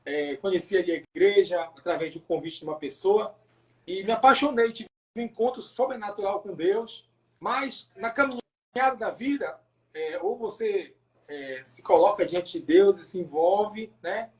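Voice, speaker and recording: A man from Pará with a northern Brazilian accent telling his personal testimony as a pastor in a casual interview, haltingly, repeating words and adding tag questions. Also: high voice, animated, darkish tone. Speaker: pastor, podcast guest.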